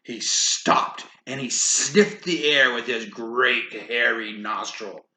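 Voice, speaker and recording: A woman reading a children's story aloud in an animated way, with casual asides. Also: very deep voice, slow. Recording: roomy echo, faint background noise.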